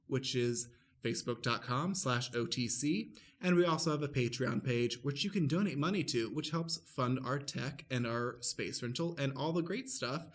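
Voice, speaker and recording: Male podcast host with a medium pitch. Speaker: podcast host and stage manager. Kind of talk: scripted-sounding show introduction, addressing listeners.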